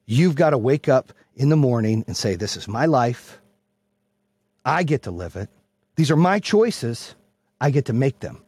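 A man giving a brash, profane, emphatic motivational monologue in a husky voice, repeating phrases for punch. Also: on the low side, darkish tone, no echo. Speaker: motivational speaker and host.

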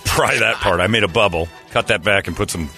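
A man's voice: lowish voice, brisk, sharp tone, faint music behind. A man hosting a radio show with sarcastic, casual comedic banter.

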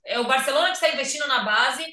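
A Brazilian Portuguese-speaking woman hosting a casual sports chat, animated and rambling, often restarting her thoughts. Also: high-pitched, quick pace. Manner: animated, rambling, thinks aloud with many restarts. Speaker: podcast host. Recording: roomy, a bit far from the mic.

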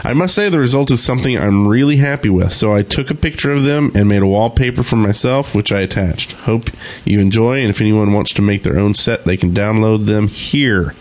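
A man's voice: fairly low pitch, a little fast, few pauses, darkish tone. A man co-hosting a gaming podcast in a casual, conversational style. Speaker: podcast co-host.

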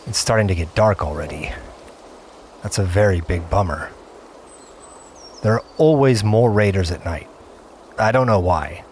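A man's voice: fairly low pitch, a little hiss or hum. A man playing an earnest, obsessive gamer in an audio drama, confiding his play session in short, broken-up phrases. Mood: wary, tense. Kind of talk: first-person scripted audio drama narration.

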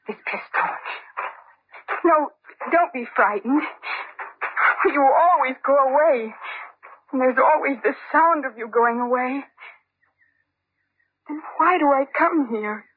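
An actress playing a haunted, wistful, unhinged lonely woman in old-time radio drama style. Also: sits high. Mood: anguished. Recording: noisy, narrow-band old radio recording.

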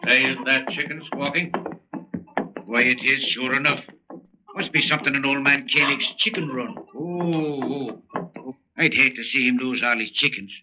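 An actor playing a cheerful neighbor riding by and calling out, in an old radio drama. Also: male voice, mid-range voice, normal speed, pitched above the performer's own voice, close to an everyday voice. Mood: hearty. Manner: hearty, calling out. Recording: dull, noisy old radio recording, off mic.

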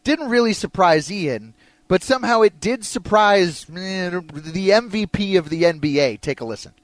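An American man with a slightly high voice hosts sports talk radio, opinionated and conversational. He speaks close on a studio mic in a dry room. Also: expressive, moderate pace, dry recording.

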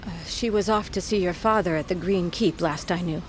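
A woman playing a stoic, commanding older mother in an audio drama, with a measured, solemn delivery. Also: fairly low pitch, a little hiss or hum, natural voice. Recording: faint ambience behind.

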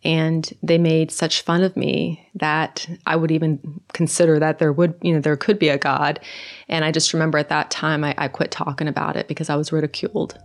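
A middle-aged woman from Norway with a Norwegian accent, soft-spoken and hesitant, telling her personal story in a conversation. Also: low-pitched, flat delivery, moderate pace. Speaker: guest.

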